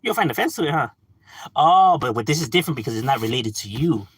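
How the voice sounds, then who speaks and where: A man's voice: medium pitch, quick pace, breathy edge, no echo. A man hosting a livestream, arguing casually and animatedly, calling listeners "bro" and laughing between points. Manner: animated, argumentative, addresses chat as "bro"; frequent laughs and filler "you know". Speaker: livestream host.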